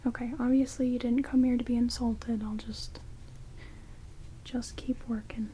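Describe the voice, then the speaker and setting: A soft, mellow whisper from a woman playing a rude vampire lady in an ASMR roleplay. She is dry, snarky and casual, taking her time with every phrase. Close binaural mics pick up a light hiss of rain behind her. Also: on the high side, little pitch movement, unhurried, close to an everyday voice. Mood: grudging care. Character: rude, sarcastic female vampire grudgingly tending wounds. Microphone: close binaural microphones.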